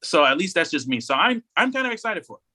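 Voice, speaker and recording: A man with a slightly high, very bright voice co-hosts a podcast. He gives his opinions in casual chat. He rambles with emphasis and often circles back to repeat himself. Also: big pitch swings, quick pace. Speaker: podcast co-host. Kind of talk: casual opinionated chat about anime news.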